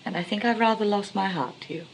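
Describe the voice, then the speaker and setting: An actress playing a flirtatious, determined society woman in a 1920s comedy of manners, gushing, archly polite and quick to take offence. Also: mid-range voice, creaky, breathy edge, poor audio. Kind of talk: 1920s English comedy of manners stage acting.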